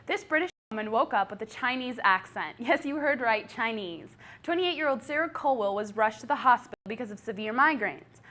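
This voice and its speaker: A woman anchor reads out a quirky news story with a bright, chatty delivery. Her voice sits a little high. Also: lively intonation, fairly quick, few pauses. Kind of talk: scripted news-style story.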